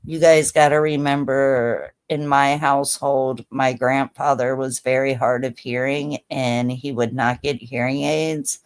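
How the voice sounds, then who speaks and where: Slow-talking laid-back woman host. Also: very deep voice, steady tone, clean tone. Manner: laid-back, chatty, lots of fillers. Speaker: livestream host. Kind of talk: casual chat with viewers.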